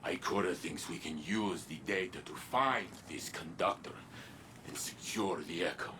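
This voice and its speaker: An actor playing a weary, solemn veteran warrior in a video game, speaking gravely and deliberately with halting pauses. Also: male voice, sits low, background noise, lightly acted. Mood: weary determination. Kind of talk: video game cutscene acting.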